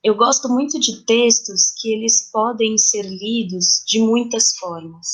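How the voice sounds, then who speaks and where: A woman's voice: fairly high pitch, unhurried, breathy edge. A woman with a Brazilian accent speaking reflectively and conversationally as a playwright being interviewed. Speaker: playwright guest.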